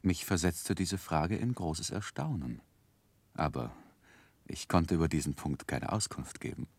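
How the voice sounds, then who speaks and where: A man playing a thoughtful first-person narrator who recounts his boyhood, with measured, articulate delivery in a radio drama. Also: rumbling low, animated, darkish tone, slightly rough, dry recording, full character voice. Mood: bemused. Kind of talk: scripted literary narration in 1960s German radio play.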